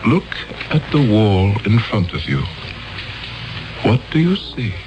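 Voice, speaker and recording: An actor playing a solemn, coldly insistent elder in a 1970s radio drama, speaking in measured, commanding phrases. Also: male voice, fairly low pitch, sing-song, background noise. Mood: hypnotic, commanding. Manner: measured, commanding, deliberate pauses.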